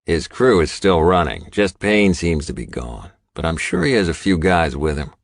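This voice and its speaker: A man plays a tough outlaw biker lieutenant with a low voice. He is grim, terse and matter-of-fact, heard close and dry in an audiobook. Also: big pitch swings, normal speed, no room sound, heavily acted. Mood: businesslike.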